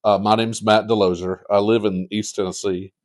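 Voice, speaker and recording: A man from Western North Carolina, a podcast guest telling stories in a relaxed, chatty way. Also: fairly low pitch, moderate pace. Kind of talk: casual chat.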